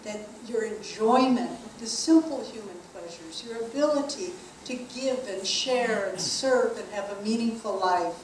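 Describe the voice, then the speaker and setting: A woman giving an earnest spiritual talk as a teacher, measured and slowing down to stress key phrases. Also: medium pitch, slight background noise. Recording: echoey room from a distant mic.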